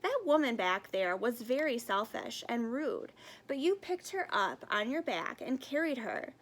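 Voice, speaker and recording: A woman's voice: fairly high pitch, big pitch swings, unhurried. A woman reading aloud as a brooding, indignant young male monk in a fable, earnest and accusing.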